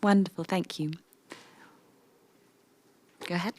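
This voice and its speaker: A woman and native English speaker facilitating a workshop, warmly inviting volunteers from the room. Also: lowish voice, steady tone, takes it slowly. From English-speaking country.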